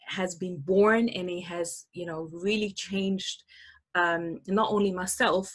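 Husky-voiced woman hosting a webinar. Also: on the low side. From Austria. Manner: chatty, many fillers and restarts. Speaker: summit host. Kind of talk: webinar presentation. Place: indoor room. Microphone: webcam on a video call.

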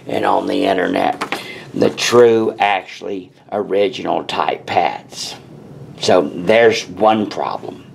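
A man's voice: lowish voice, gravelly, slight background noise. A man who sells car parts, talking bluntly in a casual way to the camera from an indoor parts workshop. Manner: blunt, plain-spoken, deliberate. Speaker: parts seller explaining brakes. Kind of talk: casual shop talk to camera.

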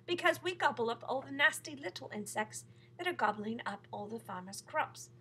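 Woman putting on a prim, proud lady ladybug, bright voice. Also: on the high side, lively intonation, normal speed, slight background noise. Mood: proud, earnest. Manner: precise, formal, a little snobbish. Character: proud, prim, genteel female ladybug, modest yet self-satisfied. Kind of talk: children's storybook character reading.

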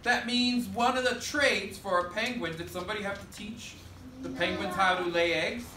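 A man in his thirties teaching third graders with call-and-response, animated and projecting to the class. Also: sits high, moderate pace. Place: elementary school classroom, indoors. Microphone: far camera at the back of the room. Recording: roomy, noisy classroom, distant camera mic.

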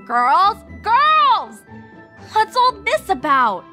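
A woman's voice: very high-pitched, wildly swooping pitch, extremely slow, pushed and bright, faint music behind, pitched above the performer's own voice, heavily acted. A woman voicing an earnest, bookish young female cartoon unicorn with a prim, protesting manner. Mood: alarmed. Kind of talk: fan fiction character reading.

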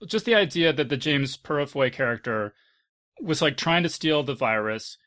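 A man hosting a podcast in casual chat, conversational and halting, often circling back on his words. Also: on the high side, animated, a bit bright. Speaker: podcast host.

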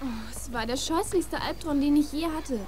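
A woman's voice: high-pitched, moderate pace, close to an everyday voice. A woman in an audio drama playing an anxious but teasing teenage girl. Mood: confiding. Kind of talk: German audio drama acting. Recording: noisy ambience behind the voice.

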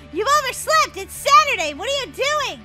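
A woman's voice: very high voice, very animated, normal speed, loud music behind the voice. A woman voicing a playful, whiny, sassy young girl in an exaggerated childlike manner, role-playing over a video game. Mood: teasing, excited. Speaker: gaming channel host.

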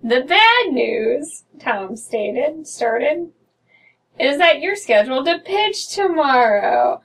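A woman's voice: sits high, big pitch swings, slow pace, a bit bright. A young American woman co-hosting, switching between casual banter and reading stories aloud. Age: young adult. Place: small dim room indoors. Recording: somewhat distant from mic.

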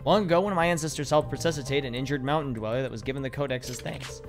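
A hoarse man in his thirties, casually commenting on a video game as he plays it, reading the subtitles aloud and swearing offhand. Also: medium pitch, racing, faint music behind. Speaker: streamer. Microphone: headset or desk mic, webcam.

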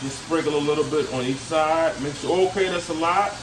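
A man's voice: a little high, brisk. A middle-aged man casually coaching someone through cooking, with slangy, teasing talk. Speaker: uncle teaching his nephew to cook. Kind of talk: casual instructional home cooking video. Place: home kitchen, indoors. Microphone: camera set a few feet away. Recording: roomy, distant mic, loud sizzling noise. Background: frying sizzle, running water.

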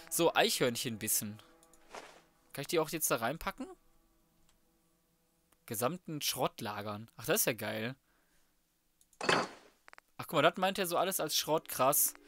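A man's voice: medium pitch, expressive, measured pace, long pauses, sharp tone, slight background noise. A man giving casual, slangy and crude commentary on a video game, talking to himself as he plays. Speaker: let's play gamer.

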